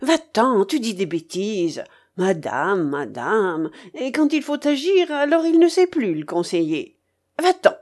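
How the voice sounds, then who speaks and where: A woman voicing an imperious, quick-tempered old grandmother in an audiobook, blunt and scolding. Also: a little high, sing-song, a little mellow. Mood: exasperated. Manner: commanding, exclaiming, scolding. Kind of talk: audiobook character voice.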